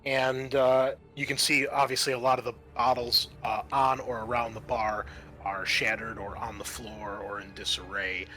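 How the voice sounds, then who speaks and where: A man running a tabletop game, describing scenes in a halting, conversational way. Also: medium pitch, level tone, pushed and bright. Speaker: game master. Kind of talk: improvised tabletop game narration.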